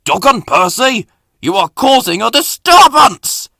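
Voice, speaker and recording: Man putting on a booming, blustery railway boss. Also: wildly swooping pitch, a little slow, bright, forceful tone, dry recording, clean studio sound, full character voice. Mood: stern, thundering. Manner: booming, authoritative. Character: commanding, blustery but kindly male railway boss.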